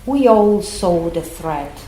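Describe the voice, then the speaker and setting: An actress playing a somber witness of doomsday, narrating in a grave, reflective way with roomy echo. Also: female voice, on the low side, animated, slow, mellow. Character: somber woman witness looking back on doomsday. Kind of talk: dramatic narration. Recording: roomy echo, faint noise.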